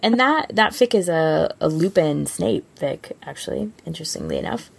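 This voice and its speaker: A woman's voice: deep voice, animated, moderate pace, vocal fry, slightly rough. A woman co-hosting a podcast, chatting casually and informally with gushing fan enthusiasm. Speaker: podcast co-host.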